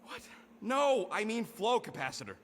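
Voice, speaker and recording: Cocky little raccoon mechanic for a game, snappy sarcastic, high pitched and swingy, irritable. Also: male voice, sing-song, normal speed, long reverb tail, slight background noise. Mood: irritated. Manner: snappy, sarcastic, technical jargon.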